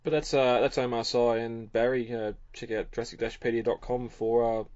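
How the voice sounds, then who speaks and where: A man's voice: mid-range voice, a little fast, dry recording, muffled recording. A man hosting a podcast, chatting casually with fillers and chuckles, then reading a recap. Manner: relaxed, rambling, with frequent fillers and chuckles. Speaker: podcast host. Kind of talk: casual chat and scripted recap.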